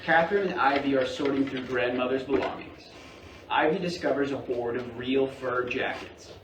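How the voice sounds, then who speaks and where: A man's voice: medium pitch, normal speed. Man reading stage directions, echoey. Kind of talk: scripted narration at a staged reading. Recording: echoey room, distant mic, faint noise.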